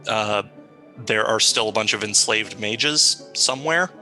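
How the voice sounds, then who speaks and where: A man playing a tabletop game, talking in a relaxed, matter-of-fact way. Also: on the low side, unhurried, faint music behind. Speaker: player. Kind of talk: casual game talk.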